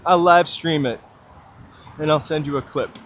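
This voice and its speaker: A man's voice: a little high, unhurried, a bit bright. Guy live-streaming a walk outside, friendly rambling to viewers, bit of street noise behind. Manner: chatty, upbeat, greets viewers by name. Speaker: live-stream walking vlogger. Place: outdoors on city streets, walking. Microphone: handheld gimbal camera mic.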